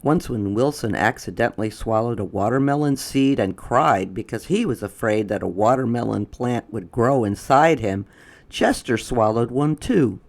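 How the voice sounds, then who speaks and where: A storyteller narrating a children's picture book in a deliberate, expressive way. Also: medium pitch, gravelly.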